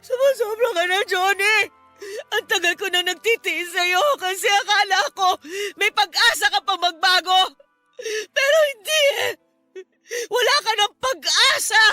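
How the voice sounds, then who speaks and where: A defiant Filipino wife with a very high, piercing voice. She scolds with heated, emphatic radio-melodrama emotion, over a music bed. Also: unhurried, bright, forceful tone, no room sound, exaggerated voice. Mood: anguished, furious. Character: fed-up, defiant wife and mother berating drunken husband.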